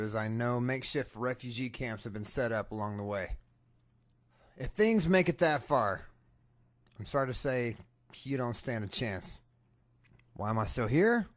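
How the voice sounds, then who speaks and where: A man playing an earnest radio newsman in an audio drama, solemn and measured as he broadcasts from a disaster. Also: medium pitch, dry recording, close to an everyday voice. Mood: determined. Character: earnest male radio newsman reporting an apocalypse. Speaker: radio news broadcaster and host.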